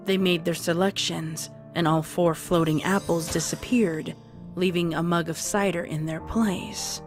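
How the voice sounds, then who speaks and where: A woman's voice: on the low side, steady tone, measured pace, faint music behind. A woman reading scripted narration for a dramatised story, as its narrator.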